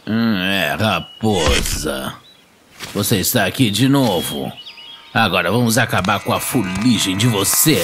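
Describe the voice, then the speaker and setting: Gatão de voz grave. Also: male voice, sits low, expressive, unhurried, music in the background. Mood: stern. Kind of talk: cartoon voice acting.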